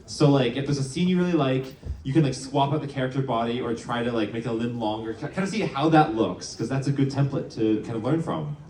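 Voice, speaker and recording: A man's voice: medium pitch, fairly quick, few pauses, a little hiss or hum. A man answering fan questions on a live panel, playful and chatty. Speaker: show creator on a panel. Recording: roomy, distant, loud crowd.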